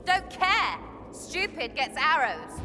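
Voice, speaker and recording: A woman's voice: high-pitched, sing-song, slow pace, background noise, full character voice. A woman playing a cheeky, irreverent young rogue archer in a fantasy video game, flippant and slangy in clipped phrases. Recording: clear music behind.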